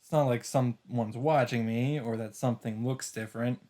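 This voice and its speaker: A man's voice: mid-range voice, steady tone, normal speed. A man playing through a visual novel, reading its narration aloud and dropping in wry, offhand comments. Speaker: let's player. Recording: loud game music behind. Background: visual novel background music.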